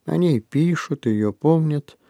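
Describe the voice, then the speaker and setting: A man presenting a radio programme in a calm, measured announcer manner. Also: fairly high pitch, gravelly, dry recording. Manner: measured, even announcer delivery.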